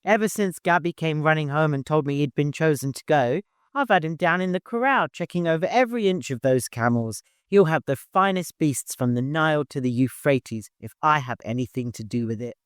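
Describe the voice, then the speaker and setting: A man as proud working camel keeper, storybook character, deferential, long-winded, very animated intonation, dry close sound. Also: a little high, brisk, clear, resonant tone, no echo, close to an everyday voice. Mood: proud, grateful. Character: broad, chatty, proud camel keeper, eager to please.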